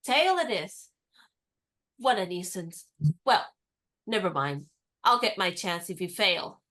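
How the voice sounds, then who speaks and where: An actress playing a spirited, independent young woman in a radio play, speaking in a crisp, teasing and firmly insistent way. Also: medium pitch, expressive, slow, a bit bright. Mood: determined.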